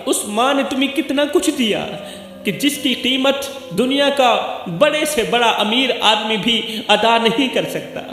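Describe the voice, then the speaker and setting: A man's voice: very high voice, a bit bright. A man preaching an emotional sermon, pleading and repeating lines for effect. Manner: impassioned, repetitive pleading delivery. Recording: heavy echo with long hall reverb and music.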